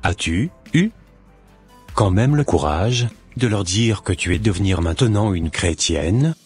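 A man voicing a calm, devout young suitor with a patient, measured manner in a scripted cartoon. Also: on the low side, husky, faint music behind. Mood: calm, hopeful.

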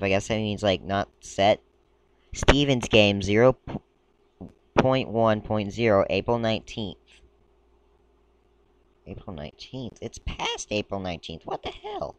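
A man talks through his screen recording in a rambling, halting way, tripping over words as he reads aloud. His voice sits on the low side and comes through clean and smooth even though he is a bit sick. The sound is dry and close. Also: no echo. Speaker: gaming video creator. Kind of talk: casual screen-recording commentary.

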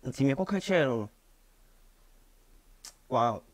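A man's voice: mid-range voice, animated, slow pace. A man in his twenties with a Ghanaian accent, telling a story conversationally as a musician guest in an interview, often repeating phrases. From Ghana, Kumasi. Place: small radio studio, indoors.

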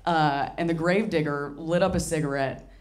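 A young woman from Tennessee performing a stand-up comedy set into a handheld mic, wry and deadpan, slipping into mocking voices for the people she quotes. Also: sits low, normal speed. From Southern US, Tennessee. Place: small candy-shop comedy venue with live audience. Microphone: handheld microphone.

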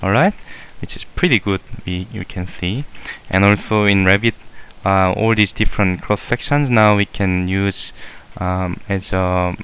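A man walking through a structural design software workflow in a screen-recorded tutorial, unhurried and hesitant, with many fillers and self-corrections. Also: low voice, clean tone. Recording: faint hiss.

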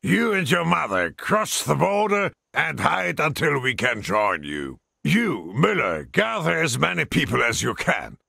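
An actor playing a gruff, weary veteran military commander with a gravelly, husky voice in a video game. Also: male voice, fairly high pitch, very animated, unhurried, few pauses, pushed and bright, dry recording, clean studio sound, full character voice. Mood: grave, urgent. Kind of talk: video game cutscene acting.

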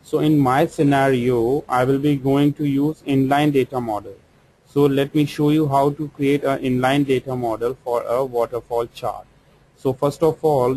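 Male trainer, Indian English accent, mid-range voice, very slow and deliberate, step-by-step tutorial voice-over. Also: medium pitch, dark tone, a little hiss or hum, poor audio. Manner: slow, step-by-step explaining with fillers. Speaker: software trainer. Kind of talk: screen-recorded software tutorial. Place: voice-over for screen recording.

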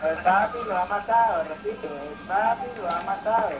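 A man's voice: high-pitched, normal speed, music in the background. A man chatting with friends while playing an online game, joking in mock police-radio announcements and repeating his lines. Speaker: gamer. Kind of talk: casual gaming chat with friends. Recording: noisy gameplay recording.

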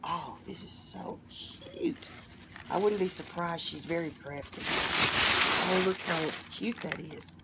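A middle-aged woman with a hoarse voice, chatting casually as she opens gifts at home and gushes over each one. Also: lowish voice, very animated, slow pace, heavy background noise. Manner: gushing, softly delighted exclamations. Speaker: vlogger opening gifts. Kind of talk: casual unboxing chat. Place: living room at home.

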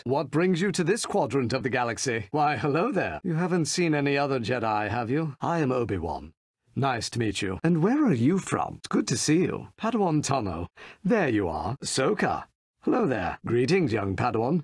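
A noble, middle-aged Jedi master from a video game, speaks with courtly polish and dry irony. His medium-pitched voice swings expressively and has a husky edge. The lines are short, gallant and composed. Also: no echo. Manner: polished, courteous, dryly ironic.

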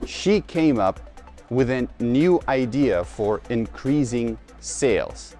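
A man's voice: mid-range voice, expressive, warm tone. Male English teacher, informal, music behind. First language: Russian. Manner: explanatory, repeats key phrases slowly, gesturing. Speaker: English teacher, video host. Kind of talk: informal language lesson to camera. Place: outdoors on a narrow old-town street. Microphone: lapel mic.

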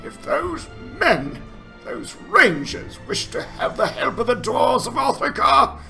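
A man's voice: very high-pitched, huge pitch swings, takes it slowly, creaky, bright, forceful tone, soft background music, voice pushed up, full character voice. A man voicing an anxious, despairing dwarf longbeard from a fantasy game, with a plaintive, dramatic delivery.

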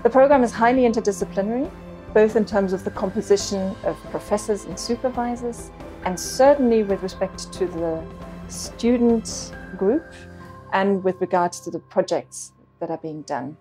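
A woman's voice: mid-range voice, normal speed, dark tone, faint music behind. A middle-aged woman, a program spokesperson, speaking confidently in a promotional interview in an office. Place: indoor office with sofa.

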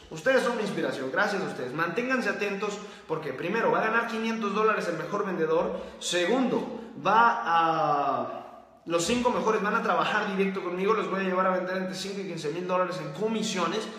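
A man hosting a book-summary series, talking in an energetic motivational style and repeating his key phrases for emphasis. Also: high voice, moderate pace. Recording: very echoey room with long reverb.

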